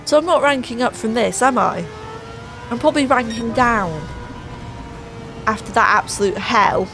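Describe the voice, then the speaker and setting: A woman's voice: on the high side, moderate pace. A woman giving sarcastic, exasperated voice-over commentary on a racing game while losing her voice. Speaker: gaming channel host. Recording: voice over clear background music.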